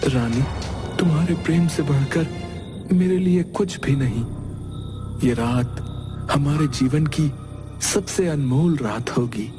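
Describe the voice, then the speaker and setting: Man acting a stately, very slow-speaking storybook king. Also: a little high. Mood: tender, adoring. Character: lovestruck, trusting king, grave and wounded. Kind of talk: dramatized folk-tale audio. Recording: loud music under the voice.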